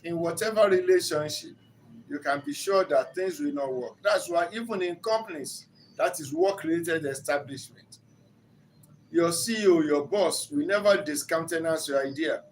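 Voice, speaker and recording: Earnest older Nigerian counselor, lecturing. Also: male voice, fairly high pitch, moderate pace, slight background noise, poor audio. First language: Yoruba. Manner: earnest, didactic, builds to emphatic rises. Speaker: relationship counselor. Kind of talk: motivational teaching talk.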